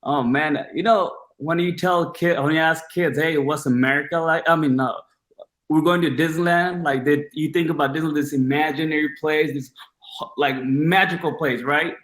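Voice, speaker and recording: A man's voice: a little high, fairly even intonation, moderate pace, fairly bright tone. Roomy-sounding young man from Bangladesh, casual. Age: 20s. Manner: informal, slangy, enthusiastic. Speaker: podcast guest. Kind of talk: casual personal storytelling.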